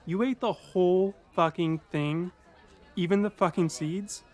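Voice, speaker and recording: A man voicing an angry, stingy apple farmer in a story, gruff, indignant and given to cursing. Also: sits high, steady tone, unhurried, slight background noise, pitched above the performer's own voice. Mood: outraged.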